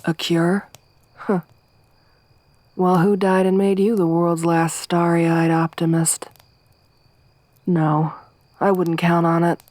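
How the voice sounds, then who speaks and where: A woman playing a soft-spoken tough survivor girl. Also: on the low side, flat delivery, slow pace, long pauses, dry recording. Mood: low-key, wry. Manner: dry, teasing, gruff. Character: tough, wry young woman wasteland survivor. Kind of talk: ASMR roleplay.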